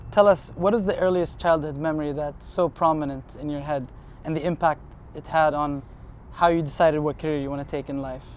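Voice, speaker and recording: A man's voice: on the high side, fairly even intonation, fairly quick, few pauses, darkish tone, a little hiss or hum. A man hosting an interview show, reading an earnest, admiring introduction and then asking questions. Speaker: podcast host. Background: music under the intro.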